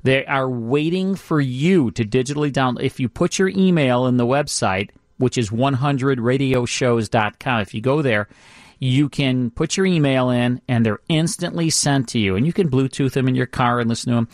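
An American man hosts a radio show in a chatty, informal way. His voice sits at a middle pitch, recorded close and dry in a studio. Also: normal speed, no room sound. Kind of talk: casual radio banter and show promos.

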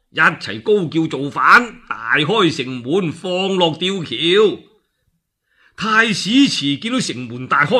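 Male radio storyteller, Cantonese accent, historical epic. Highly animated, forceful, colloquial delivery. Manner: animated, colloquial, acts out dialogue. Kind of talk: dramatic solo radio storytelling of a historical novel.

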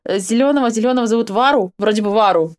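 A woman's voice: fairly high pitch, fast, a little mellow. A young woman reacting to a cartoon from her home streaming room, chatty and giggly, with a slightly raised voice into a desk mic. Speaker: reaction video host. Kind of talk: casual reaction commentary.

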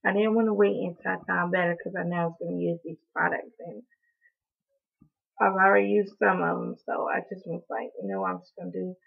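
Young woman vlogging a haul, hoarse and raspy, lots of ums, tinny webcam sound. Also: lowish voice, steady tone, a little fast. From North Carolina. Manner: chatty, apologetic, lots of ums, coughs now and then. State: hoarse from allergies. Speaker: beauty vlogger. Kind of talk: casual haul video. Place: small indoor room, in front of a door. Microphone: webcam at close range.

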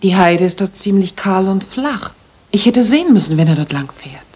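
A woman's voice: mid-range voice, lively intonation, moderate pace, darkish tone, breathy edge. An actress playing a worried, polite young lady in a German radio drama, recounting carefully and earnestly.

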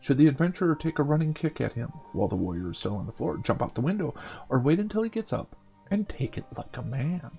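A man's voice: fairly high pitch, very animated, fast, creaky, dark tone, dull audio, faint music behind. A man hosting a gaming show, reading a fantasy story theatrically with casual commentary.

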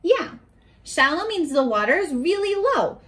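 A young woman with a high voice reads aloud like a primary teacher. Her pitch swings expressively and she takes her time, speaking directly to small children as she goes through a picture book. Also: sing-song, a little slow, fairly bright tone. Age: 20s. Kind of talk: children's read-aloud with simple questions to listeners. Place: small furnished bedroom, indoors.

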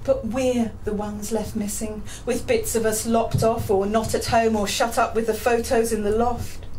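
An English woman you would hear at a small pub poetry night, high-voiced and unhurried at the microphone, faint room noise behind her. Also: level tone, a little mellow, poor audio. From England. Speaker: poet. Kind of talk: live poetry reading. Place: small dim pub room with seated audience. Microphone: handheld-type microphone on a stand. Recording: live venue microphone with background noise.

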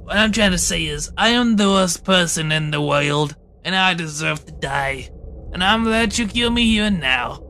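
A man acts out a villainous mafia boss in a comedy game dub. The voice is fairly high and gravelly, with a forceful edge. He rambles awkwardly, deadpan and full of self-loathing. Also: moderate pace, slight background noise, full character voice. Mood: rambling, resigned. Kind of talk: comedy fan dub of a game, improvised dialogue.

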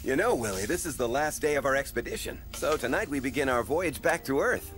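An actor playing a friendly explorer father on a spaceship in an animated film, with an encouraging, instructive manner. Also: mid-range voice, a little fast, natural voice. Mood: warm, upbeat. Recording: music behind the voice.

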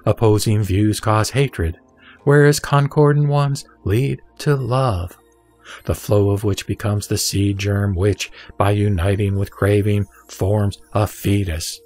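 A man reading scripture as narrator of an audio drama, in a solemn, measured and deliberate way. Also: fairly low pitch, a little mellow. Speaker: sutra reciter and narrator. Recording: background music under the voice. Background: music.

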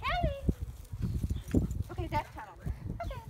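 A woman handling her dog in an outdoor arena, calling to it excitedly in a sing-song way and chatting with an instructor. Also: very high-pitched, moderate pace. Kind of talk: casual chat and cheering on a dog. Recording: phone audio, loud outdoor noise.

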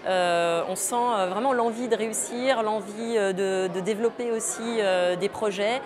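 A woman in her thirties giving interview remarks, pausing to search for words. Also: medium pitch. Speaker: jury member. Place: large busy indoor exhibition hall. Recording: crowd chatter behind.

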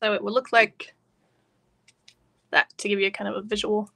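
A woman with a bright, forward voice leads a live hands-on craft lesson. She talks casually and informally at an average pitch, explaining each step as she works. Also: level tone, moderate pace, vocal fry. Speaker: craft instructor. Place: work table indoors, live online stream.

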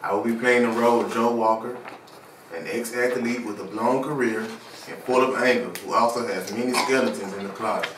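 A man's voice: medium pitch, fairly even intonation, brisk. A young adult man, an actor giving a rehearsed appeal for a stage play. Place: indoor living room. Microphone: distant camera microphone. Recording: roomy, distant, noticeable background noise.

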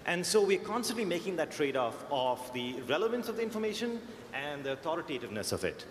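A man's voice: a little high, sing-song, fast talker. Man, mixed American and Indian accent, panel speaker, echoey hall, faint hum. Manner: thoughtful, restarts phrases. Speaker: search engineer panelist. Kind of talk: conference panel discussion. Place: large conference hall.

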